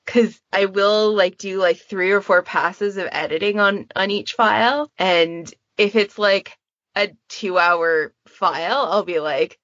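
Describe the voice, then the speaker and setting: A woman's voice: medium pitch, unhurried, a bit bright, no echo. Woman, casual podcast panelist. Candid, self-mocking, sweary delivery. Very dry, slightly dull recording. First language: English. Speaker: podfic creator and podcast panelist.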